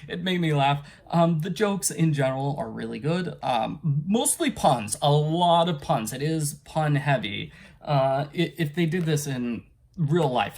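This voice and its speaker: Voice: mid-range voice, darkish tone, slightly rough. A casual book reviewer talking off the cuff in a rambling, hesitant way, often trailing off or chuckling.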